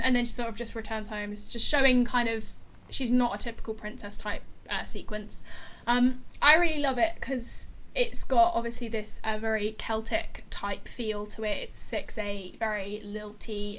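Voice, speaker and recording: A woman's voice: a little high, a bit bright. A woman co-hosting a music show, talking casually and enthusiastically about songs.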